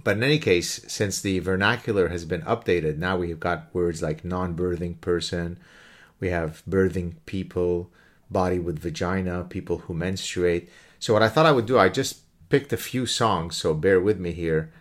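Man, middle-aged, from Canada, low voice, casual channel host, mocking tone, off-key sung bits. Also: normal speed. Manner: mocking, sings lyric snippets off-key. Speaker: commentator hosting his own channel. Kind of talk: casual satirical monologue.

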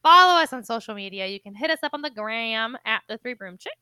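A young woman with a Cuban accent co-hosting a podcast in animated, slangy chat. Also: a little high, fast talker, smooth, no echo. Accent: Cuban Spanish-influenced English. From Cuban heritage. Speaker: podcast co-host. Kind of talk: casual chat.

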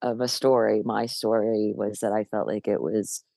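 Older American woman guest, low gravelly voice, hesitant, laughs easily, dry close sound. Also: steady tone, moderate pace, mellow, no room sound. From New Jersey, USA. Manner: reflective, hesitant, laughs often. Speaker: guest musician. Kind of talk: casual interview chat.

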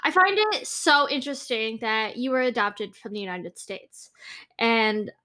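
A woman's voice: fairly high pitch, animated, measured pace, bright, forceful tone. A woman hosting a podcast who reads a scripted intro and then asks her guest questions in a friendly way. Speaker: podcast host. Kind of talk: podcast interview.

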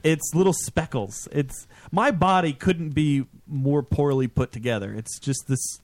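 A man's voice: fairly high pitch, unhurried, darkish tone, no room sound. A man in his late twenties hosting a podcast, rambling through crude, self-deprecating banter on a studio mic. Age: 20s. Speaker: podcast host. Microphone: studio microphone with headphones.

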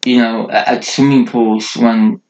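A man's voice: mid-range voice, flat delivery, measured pace, a little mellow, muffled recording. A man, a podcast co-host, chatting casually in a rambling, joking way with many restarts. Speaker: podcast co-host, multisport athlete. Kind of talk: casual chat.

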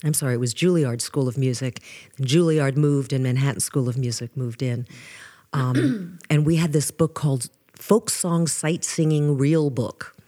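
A woman in her sixties from the Bronx with a low voice, recalling her musical upbringing as an interview guest in an unhurried, thoughtful way. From the Bronx, New York. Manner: unhurried, thoughtful, with frequent restarts and soft asides. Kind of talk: reflective interview storytelling.

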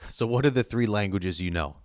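A man's voice: fairly low pitch, fairly quick, dry recording, studio quality. A man hosting a podcast, asking long, rambling interview questions. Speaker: podcast host.

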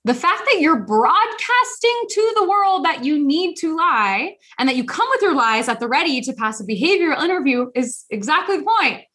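Chatty, animated woman podcast host. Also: sits high, moderate pace, hardly any pauses. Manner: animated, rambling, with many fillers and restarts. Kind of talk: casual chat.

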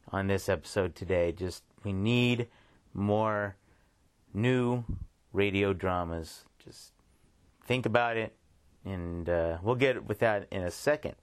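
Chill guy podcast host, lowish voice, dry sound, talks in short halting bits. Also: no room sound. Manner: laid-back, halting delivery in short phrases. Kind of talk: casual solo talk.

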